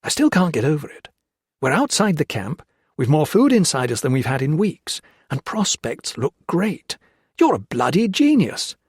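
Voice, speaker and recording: Man voicing a jittery young officer, rapid worried questions, raised pitch, dry close sound. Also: wildly swooping pitch, breathy edge, dry recording, heavily acted. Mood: excited. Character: anxious young British officer, jittery and self-scolding.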